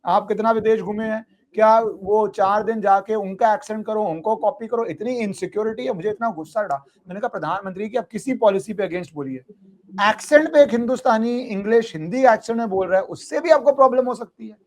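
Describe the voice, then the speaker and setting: An Indian man with a high voice, hosting a political talk show. He argues in quick, heated bursts, stressing his points hard. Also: darkish tone. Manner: indignant, rapid and emphatic. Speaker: podcast host. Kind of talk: animated political discussion.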